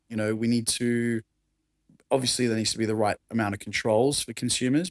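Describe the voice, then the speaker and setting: A man with an Australian accent and a raspy voice, talking enthusiastically as a podcast guest. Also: mid-range voice, no echo, studio quality. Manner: enthusiastic, conversational, laughs often. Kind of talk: casual chat.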